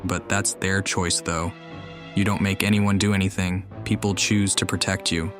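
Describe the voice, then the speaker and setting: Low male let's-player, casual wry reactions. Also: little pitch movement, normal speed. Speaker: game streamer. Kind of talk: casual let's-play commentary. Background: game music.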